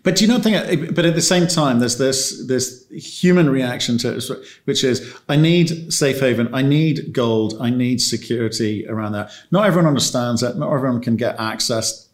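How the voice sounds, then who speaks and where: A male interviewer asks long, searching questions, often restarting mid-sentence. He speaks at a middling pitch in a plain conversational tone. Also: moderate pace, few pauses. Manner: probing, long rambling questions. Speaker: interviewer host.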